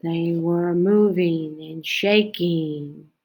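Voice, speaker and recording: Very slow, expressive woman reading a storybook. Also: fairly low pitch, husky. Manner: expressive, with drawn-out phrases and hushed dips. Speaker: storybook reader. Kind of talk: dramatic children's picture book read-aloud.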